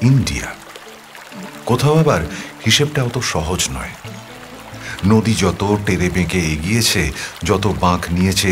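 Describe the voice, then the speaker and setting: A man narrating an audio story as a wistful young man writing a confessional love letter, speaking in a reflective, intimate way over music. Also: deep voice. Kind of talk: first-person dramatized storytelling, read as a letter.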